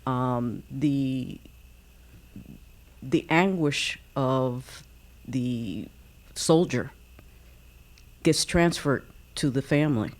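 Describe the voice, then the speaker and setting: A woman giving a reflective comment as an audience member, with halting, deliberate phrasing. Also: extremely low pitch.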